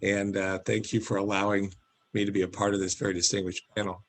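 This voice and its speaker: A man with a raspy voice today, introducing himself in a friendly, joking way on a webinar panel over video call. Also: fairly low pitch, flat delivery, fast talker. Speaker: panelist, enterprise founder.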